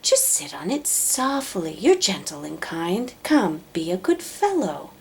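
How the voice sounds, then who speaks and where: A woman's voice: on the low side, big pitch swings, a little slow, vocal fry, a little mellow, slightly rough, full character voice. A woman voicing a lazy female bird in a children's storybook, whiny and sweetly wheedling. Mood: sweetly coaxing. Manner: complaining, pleading, sweetly coaxing. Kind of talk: children's storybook read-aloud.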